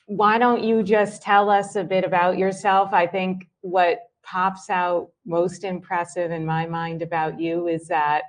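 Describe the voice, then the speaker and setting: A woman hosting an evening talk, introducing the guest and asking questions in a measured, gracious way. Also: on the low side, a little hiss or hum. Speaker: event host, moderator. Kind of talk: hosting an interview on stage.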